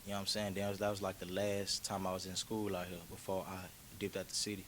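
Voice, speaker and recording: Laid-back young man, low voice. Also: monotone, quick pace, a little hiss or hum. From Memphis, Tennessee. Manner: laid-back, slangy, storytelling with frequent "you feel me". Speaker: rapper guest. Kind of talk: casual interview chat.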